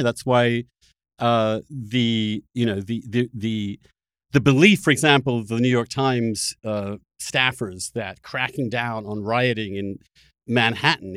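A man hosts a political discussion in a conversational way. He probes and pushes back, restarting phrases as he builds a point. His voice sits at a medium pitch, recorded dry with little room sound. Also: no echo. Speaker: podcast host. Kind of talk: conversational political interview and debate.

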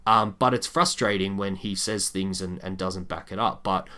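A man co-hosting a sports podcast, giving measured, candid analysis in a casual discussion. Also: low-pitched, fairly even intonation, bright, forceful tone.

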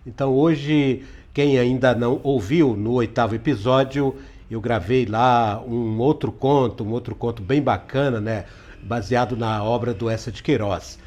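A man's voice: mid-range voice, a little slow, a little mellow. A man hosting a podcast with an informal introduction. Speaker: podcast host. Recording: prominent guitar music behind. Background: guitar music.

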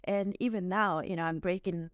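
A woman in her forties speaks English with a Japanese accent as a podcast guest. She is warm, laughs often and fills her sentences with "um" and "like". Her voice is a little low and smooth, recorded close and dry. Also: fairly even intonation, moderate pace, no echo. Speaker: guest, dance instructor. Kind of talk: casual interview.

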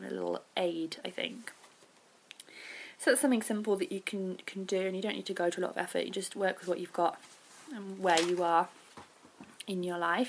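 A woman hosting a podcast as an informal, reflective solo chat, with hedging asides and a creaky voice. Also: mid-range voice, fairly bright tone. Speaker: podcast host.

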